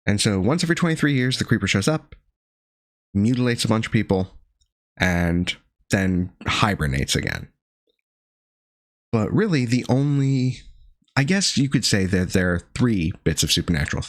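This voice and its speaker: A man with a gravelly voice still recovering from being sick, reviewing a horror film with dry sardonic asides and frequent pauses. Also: on the low side, big pitch swings, no echo. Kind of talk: casual video review monologue.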